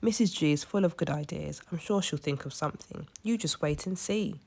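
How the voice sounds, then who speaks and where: A woman's voice: low-pitched, a little fast, creaky, a little mellow, slightly rough, full character voice. A woman voicing a kindly, reassuring old male lighthouse keeper in a storybook, with a musing manner.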